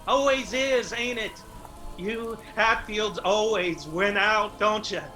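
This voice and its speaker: An actor playing a fierce, proud younger feuding mountain man in a radio drama, with a Southern Appalachian accent and forceful rural speech. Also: high voice, slow pace, faint music behind, exaggerated voice, poor audio. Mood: bitter defiance.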